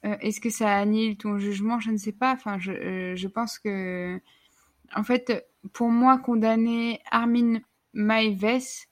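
Thoughtful, halting woman talking. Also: on the high side, steady tone. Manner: thoughtful, halting, searching for words. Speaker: podcast co-host. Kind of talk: casual discussion.

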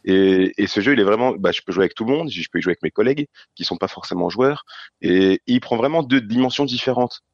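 Deep-voiced french guy rambling about board games, lots of ums, dull dry sound. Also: expressive, quick pace, no room sound. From France. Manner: hesitant, many fillers and restarts. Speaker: podcast guest.

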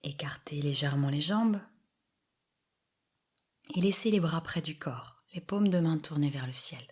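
A woman guiding a yoga meditation with soft, soothing scripted instructions and long pauses. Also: low-pitched, husky. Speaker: yoga nidra relaxation guide.

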